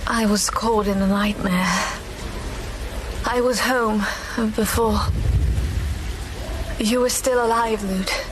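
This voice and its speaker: A woman's voice: medium pitch, fairly even intonation, takes it slowly, pauses often, noisy background, natural voice. A woman playing a proud, guarded young warrior in a video game, grave and terse in her delivery. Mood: brooding, guarded. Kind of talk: video game cutscene acting. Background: music.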